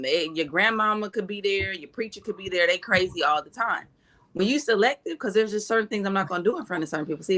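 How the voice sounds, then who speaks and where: A woman's voice: mid-range voice, a bit bright. A woman in her thirties on a podcast panel, talking bluntly and unapologetically in casual, slangy speech. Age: 30s.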